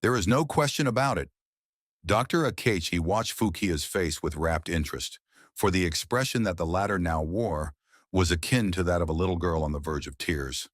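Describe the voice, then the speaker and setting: A man narrating a classic story in a steady, measured reading voice. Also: sits low, no echo, studio quality. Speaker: audiobook narrator.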